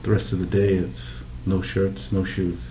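A man's voice: low-pitched, monotone, moderate pace, warm tone. A middle-aged man from Hawaii being interviewed, reminiscing in casual conversation. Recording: echoey room with background noise.

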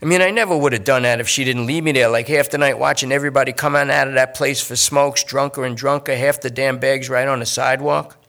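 A man voicing a jittery, talkative male junkie who rambles and pleads in fast bursts. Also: medium pitch, steady tone, gravelly, fairly bright tone. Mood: pleading.

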